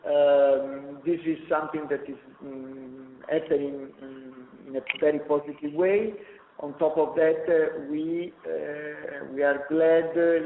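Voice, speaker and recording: Man, executive, halting with fillers, faint room noise, phone-line sound. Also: mid-range voice. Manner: halting, many fillers and restarts. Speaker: company executive. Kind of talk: earnings call answer. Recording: echoey tinny phone line.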